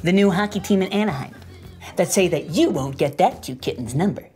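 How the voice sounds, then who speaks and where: An actor playing a pushy, eager, wheedling hustler making a deal. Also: male voice, sits high, expressive, fairly quick, a little mellow, soft background music.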